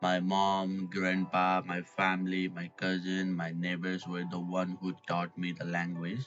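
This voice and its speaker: Low-voiced man. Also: monotone, a little slow, fairly bright tone. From Nepal. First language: Nepali. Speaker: podcast guest. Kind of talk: reflective personal storytelling. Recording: dull lo-fi audio with faint background music.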